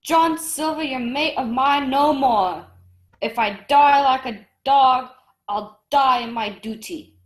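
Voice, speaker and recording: A woman's voice: sits high, takes it slowly. A woman playing a weary, steadfast male sailor in a radio drama, recorded with a roomy echo. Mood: defiant. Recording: roomy, echoing sound.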